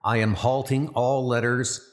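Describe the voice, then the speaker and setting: An actor voicing an earnest, dutiful young secret service trainee, narrating his letters in a measured, formal way. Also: mid-range voice, little pitch movement, natural voice. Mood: earnest, sober. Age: young adult. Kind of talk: letter read as narration in a comic dub.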